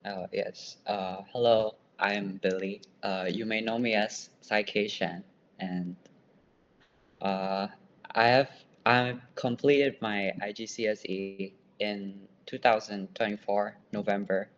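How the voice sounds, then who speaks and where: Casting: young male student guest, Burmese accent, low voice, modest and hesitant, slightly muffled recording. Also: fairly even intonation, slow pace, a little hiss or hum. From Myanmar. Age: young adult. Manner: self-deprecating, hesitant. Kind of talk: casual podcast interview.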